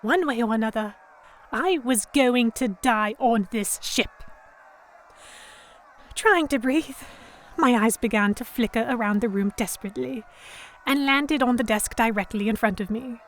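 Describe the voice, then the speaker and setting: A woman playing an anxious, indignant young captive who narrates her ordeal in expressive, first-person storytelling for an audio drama. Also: on the high side, measured pace, natural voice. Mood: fearful, desperate.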